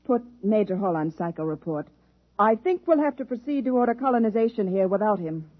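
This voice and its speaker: Actress as commanding officer: brisk, authoritative woman, clipped delivery, dull vintage radio recording. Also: medium pitch, lively intonation, very noisy, lightly acted. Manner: crisp, authoritative, clipped orders. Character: stern, brisk female military commander. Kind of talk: 1950s radio drama acting.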